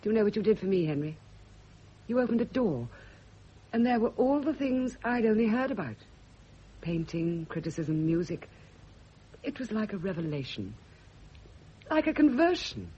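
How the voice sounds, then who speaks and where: Husky, genteel, earnest middle-aged spinster. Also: female voice, medium pitch, very animated, normal speed, long pauses. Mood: fervent, reproachful. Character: refined, devoted unmarried woman, cultured and intense. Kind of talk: radio drama acting. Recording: dull, muffled vintage radio recording.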